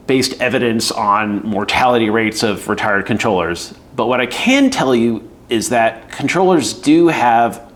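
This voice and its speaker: A man's voice: medium pitch, fairly bright tone. Man giving candid career advice. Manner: conversational, acts out quoted voices, frequent fillers. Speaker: air traffic controller giving career advice. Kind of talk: casual explainer video.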